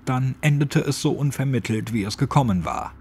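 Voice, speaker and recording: A man's voice: mid-range voice, normal speed, darkish tone, slight background noise. A man narrating a scripted horror story as an audiobook. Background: music.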